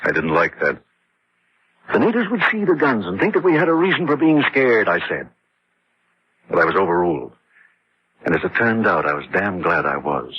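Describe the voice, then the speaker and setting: A man's voice: sits low, sing-song, moderate pace, long pauses, a little hiss or hum. An actor playing a defensive, rationalizing husband who tells his story in dramatic radio horror style. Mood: grim, reflective. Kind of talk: dramatic first-person radio horror narration and dialogue. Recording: muffled old-time radio drama recording.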